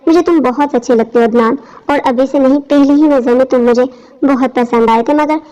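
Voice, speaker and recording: Woman playing a scheming college girl, high-pitched. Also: a little fast, warm tone, lightly acted. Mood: coy. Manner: coaxing. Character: scheming young college girl, coaxing and greedy. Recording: dull lo-fi audio.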